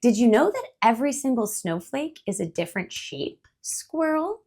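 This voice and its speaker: A woman's voice: fairly high pitch, sing-song, moderate pace, darkish tone, husky, full character voice. A woman voicing a cheerful, playful bird in a picture-book reading, explaining things patiently in a sing-song way. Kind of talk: storybook read-aloud voice.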